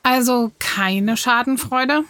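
A woman's voice: a little high, takes it slowly, fairly bright tone, smooth, no room sound. A woman interviewing in a conversational children's audio show, prompting and reacting expressively. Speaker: interviewer and host.

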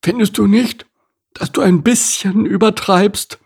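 A man's voice: high voice, measured pace, vocal fry, darkish tone, slightly rough, pitched above the performer's own voice, full character voice. A man voicing a blunt, grumbling farm pig with sarcastic, exaggerated complaints in a storybook. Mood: mildly reproachful. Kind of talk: storybook character voice.

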